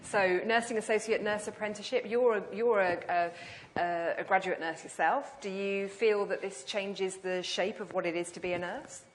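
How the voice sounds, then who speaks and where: A woman's voice: mid-range voice, steady tone, normal speed, few pauses, a little hiss or hum. A British woman chairing a panel debate in front of an audience, chatty and jokey as she introduces the speakers and puts questions to them. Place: lecture hall before an audience.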